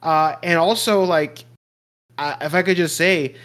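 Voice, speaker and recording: A man co-hosting a podcast in casual chat, excitable and gushing, often restarting his sentences. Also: fairly high pitch, unhurried. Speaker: podcast co-host.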